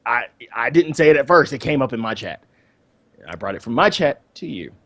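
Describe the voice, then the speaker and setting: A man with a mid-pitched voice co-hosts a casual gaming podcast. His intonation moves around a lot, and he talks in a halting way, doubling back on phrases. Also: expressive, pushed and bright. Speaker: podcast co-host.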